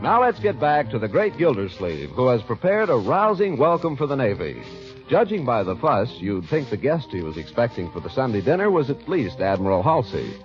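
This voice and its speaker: A man working as a radio announcer, giving a scripted sponsor spiel and show narration with smooth, polished salesman delivery. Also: medium pitch, sing-song, a little fast, faint music behind. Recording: dull 1940s radio broadcast.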